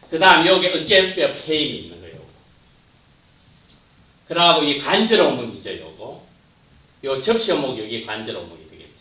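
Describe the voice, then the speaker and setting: A man giving an anatomy lecture, pointing at slides and naming parts, his voice dropping at the end of phrases. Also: medium pitch. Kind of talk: classroom lecture. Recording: roomy, distant, muffled lecture capture.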